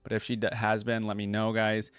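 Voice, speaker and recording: A man's voice: on the low side, completely flat delivery, brisk, a bit bright, smooth, no room sound. A man hosting a reaction video, giving informal, conversational commentary with rambling asides.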